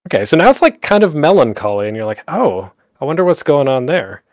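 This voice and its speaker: A man's voice: on the high side, very animated, brisk, a little mellow. A man hosting an instructional talk in a casual, rambling way, often interrupting himself. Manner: informal, rambling, self-interrupting. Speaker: panel host teaching audio drama production. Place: close, dry home recording for an online panel.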